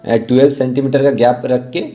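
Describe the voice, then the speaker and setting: Indian guy doing a casual art how-to, soft dark tone, medium pitch, encouraging. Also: little pitch movement, fairly quick, faint music behind. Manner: instructional, encouraging. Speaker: rangoli art teacher and channel host. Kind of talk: informal step-by-step drawing tutorial.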